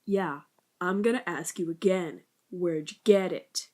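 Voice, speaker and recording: A woman's voice: on the low side, unhurried, darkish tone, lightly acted. A woman playing a tough, threatening male teenage bully in an amateur comic dub. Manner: menacing, demanding.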